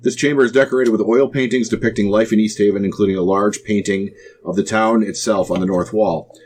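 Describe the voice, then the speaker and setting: A man with a fairly low voice serves as game master at a tabletop session. He describes scenes and rules in a halting, explanatory way. Faint noise and hum sit behind his voice. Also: steady tone, a little mellow. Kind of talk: narrating a tabletop role-playing game.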